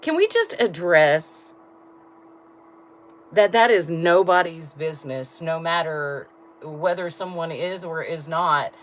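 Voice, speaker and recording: A woman with a low voice chatting with viewers on a livestream, blunt and laughing often. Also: slight background noise, rough recording. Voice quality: low voice register. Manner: blunt, talks straight to chat, laughs often. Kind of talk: casual livestream chat with viewers.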